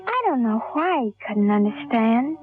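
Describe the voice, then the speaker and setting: Cold, seductive, high-voiced radio femme fatale. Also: female voice, sing-song, normal speed. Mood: brooding narration. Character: cold, scheming, seductive female killer and double-crosser. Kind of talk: 1940s radio crime drama acting. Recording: tinny old radio broadcast, music behind.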